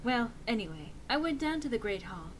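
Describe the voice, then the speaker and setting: A woman's voice: a little high, big pitch swings, fairly quick, a little hiss or hum. A woman reading fan fiction aloud as a dramatic, mock-serious narration with theatrical emphasis.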